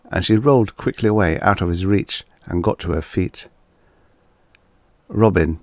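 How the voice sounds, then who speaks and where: A man narrating a vintage science fiction story as an audiobook reader, in a dramatic, expressive storytelling manner. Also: sits low, no echo.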